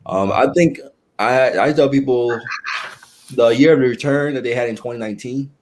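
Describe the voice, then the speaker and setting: A young man talking casually as an interview guest, pausing and restarting often as he gathers his thoughts. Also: mid-range voice, darkish tone, slight background noise. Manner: halting, with frequent restarts and fillers.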